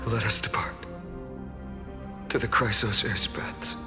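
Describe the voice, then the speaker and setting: A man playing a composed heroic leader in a video game, speaking in a grave, formal, measured way. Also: on the low side, level tone. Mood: solemn farewell. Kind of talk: dramatic video game voice acting. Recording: long hall reverb with music.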